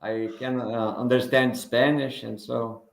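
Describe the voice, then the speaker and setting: A male professor whose native language is Portuguese, answering slowly and briefly. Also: mid-range voice, fairly even intonation, gravelly. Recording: roomy sound.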